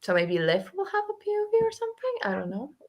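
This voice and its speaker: A woman's voice: high-pitched, fast. A young woman co-hosting a casual book club discussion, chatting animatedly and filling her talk with "like". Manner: chatty, heavy use of "like", animated.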